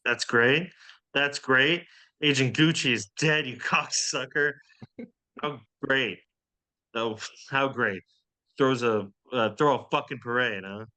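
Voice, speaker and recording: A man's voice: medium pitch, slow pace, pushed and bright. A man playing a bitter, foul-mouthed secret agent with sarcastic aggression in a comedy table read. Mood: bitter sarcasm. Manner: sarcastic, profane.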